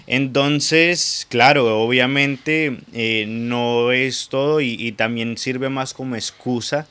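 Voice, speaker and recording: A man with a Colombian accent argues his point on a football talk show. His voice is bright and crisp, very clean to the ear. He talks in a loose, chatty way, with tags and check-ins along the way. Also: medium pitch, steady tone, takes it slowly. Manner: chatty, repeats "o sea" and "¿sí?". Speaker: sports show panelist. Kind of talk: casual debate.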